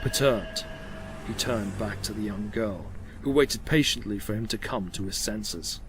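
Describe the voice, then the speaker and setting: A man's voice: on the low side, measured pace, noisy background. A man narrating an audio drama with scripted, dramatic storytelling delivery. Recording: loud music behind the voice.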